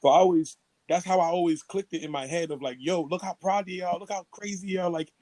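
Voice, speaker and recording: A man's voice: high-pitched, steady tone, quick pace, dark tone, no echo. A young man co-hosting a podcast, talking in a playful, rambling way with many restarts. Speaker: podcast co-host. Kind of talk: casual chat.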